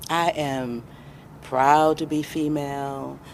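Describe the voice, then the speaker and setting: A middle-aged American woman answering interview questions as a guest, reflective and deliberate, pausing for emphasis. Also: low-pitched, fairly even intonation, gravelly, a little hiss or hum. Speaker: interview guest, independent filmmaker.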